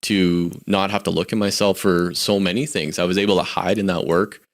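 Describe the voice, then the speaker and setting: A man's voice: low-pitched, steady tone, fairly quick. A Canadian man in his forties, a podcast guest, talking candidly and reflectively about his life, often restarting his sentences. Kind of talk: casual personal storytelling.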